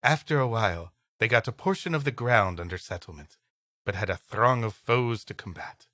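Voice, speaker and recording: Male podcast co-host, playful story reader, bright and animated, dry recording. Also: on the low side, normal speed, no echo. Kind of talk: reading an old adventure story aloud with comic banter.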